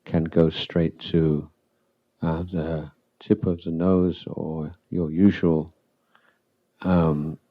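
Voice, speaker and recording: A man with a very low, even voice leads a guided meditation as a teacher. He speaks calmly in short phrases, leaving long pauses between them. The recording is a little dull. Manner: calm, unhurried, halting with long pauses. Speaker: Buddhist monk, meditation teacher.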